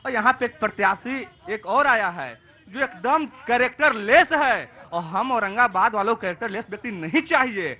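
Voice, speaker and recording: A man giving an emphatic, campaign-style political pitch on the street. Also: expressive, moderate pace. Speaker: party supporter. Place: outdoor street. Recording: dull outdoor audio.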